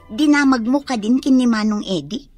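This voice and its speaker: A woman's voice: fairly high pitch, moderate pace, over music, close to an everyday voice. A woman playing a wary friend who speaks in hints, in a radio drama. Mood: probing. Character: hinting, wary female friend of the wife. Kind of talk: Ilocano radio drama acting.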